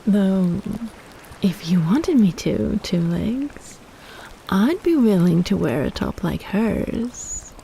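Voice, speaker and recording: A woman voicing a possessive, flirtatious female kraken in a romantic roleplay audio, teasing in a sultry, playful, unhurried way with sighs. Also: mid-range voice, lively intonation, vocal fry, dark tone, slight background noise, low-quality recording.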